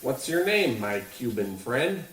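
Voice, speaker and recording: A man playing a gruff, bossy, oblivious baseball coach in an old-radio comedy, blustering in old-fashioned slang. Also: mid-range voice, very animated, measured pace, fairly bright tone. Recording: roomy, slightly distant, faint noise.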